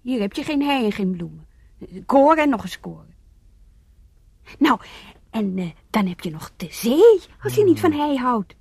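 Een vrouw die in een hoorspel een verlangende, aandringende vrouw speelt. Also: female voice, a little high, big pitch swings, normal speed, gravelly, pauses often, a little mellow, breathy edge, no room sound, full character voice. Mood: dreamy, eager. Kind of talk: Dutch radio play acting.

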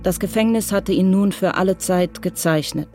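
A narrator reading a crime radio play in a measured, even way. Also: medium pitch, over music. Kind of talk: scripted narration.

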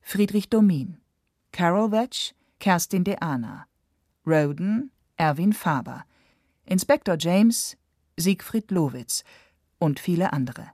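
Woman announcer, lowish pitch, very measured pace, formal credit reading, dry sound. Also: expressive, a little mellow, no echo. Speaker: radio announcer.

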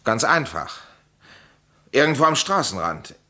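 An actor playing an eager, insistent amateur sleuth in a crime radio play, speaking with dramatic emphasis. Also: male voice, medium pitch, very animated, a little slow, bright, forceful tone, full character voice. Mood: eager, arguing. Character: insistent amateur sleuth, a male playwright under suspicion. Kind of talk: vintage German crime radio play acting.

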